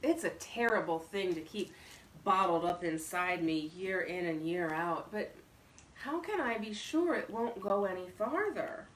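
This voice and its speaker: A dry, aloof male storybook stranger, read by a woman, speaks in a crisp, even deadpan. The pitch is fairly low. The sound is echoey and a little distant from the mic. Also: a little slow, a little hiss or hum. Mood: solemn. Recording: roomy echo, distant microphone.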